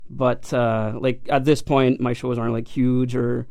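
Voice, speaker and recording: A man's voice: medium pitch, flat delivery, measured pace, creaky, a little mellow, no echo. A young man, a country singer, answering interview questions in a modest, hesitant way with many fillers.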